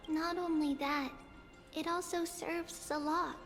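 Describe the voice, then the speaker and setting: High-voiced, gentle little girl guide. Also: fairly even intonation, unhurried, heavily acted. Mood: solemn recounting. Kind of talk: scripted fantasy game dialogue. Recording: game music behind the voice.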